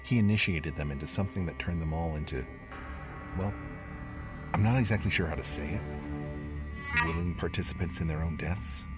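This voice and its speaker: Guy playing a brooding investigator telling a dark mystery, really low voice, slow deliberate confiding delivery, music behind him. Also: close to an everyday voice. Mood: grave, brooding. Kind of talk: scripted first-person horror podcast narration.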